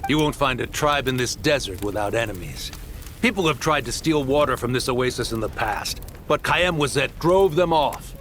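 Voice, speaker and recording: An actor playing a callous, dismissive crime boss in a video game, full of contempt. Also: male voice, mid-range voice, lively intonation, normal speed, a bit bright, a little hiss or hum, lightly acted. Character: callous, scornful male crime boss and betrayer. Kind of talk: dramatic video game villain acting.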